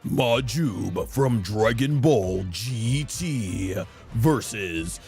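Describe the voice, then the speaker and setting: A man voicing a showy fight announcer introducing a matchup in a slow, drawn-out delivery, music behind him. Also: fairly low pitch, animated, a little mellow, heavily acted. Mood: showy, emphatic.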